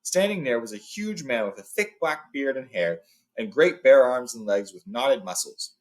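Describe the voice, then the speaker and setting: A man hosting a read-along, telling and reading a story in an informal, expressive way. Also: mid-range voice, normal speed. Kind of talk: storybook reading with casual retelling.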